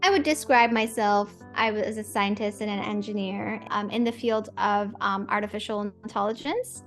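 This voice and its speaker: A woman from Addis Ababa, Ethiopia, an AI researcher who speaks thoughtfully with pauses. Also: mid-range voice, steady tone, fairly bright tone, faint music behind.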